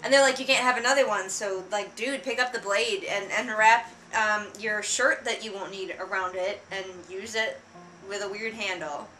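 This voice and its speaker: A woman's voice: medium pitch, fairly even intonation, normal speed, sharp tone, faint music behind, poor audio. A woman giving casual, sarcastic commentary, with an exasperated, mocking and emphatic way of talking. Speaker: book reviewer and vlogger.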